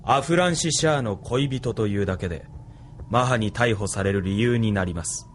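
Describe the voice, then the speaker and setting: Actor as a smug, coldly polite officer. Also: male voice, lowish voice, normal speed, a little hiss or hum, close to an everyday voice. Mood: coolly threatening. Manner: smug, controlled, mockingly polite. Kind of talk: Japanese audio drama acting.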